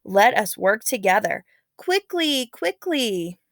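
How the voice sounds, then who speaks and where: A woman's voice: mid-range voice, sing-song, slow pace, no room sound, clean studio sound. Woman reading as a commanding old village woman. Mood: resolute. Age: older. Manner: urgent, commanding. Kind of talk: storybook read-aloud character.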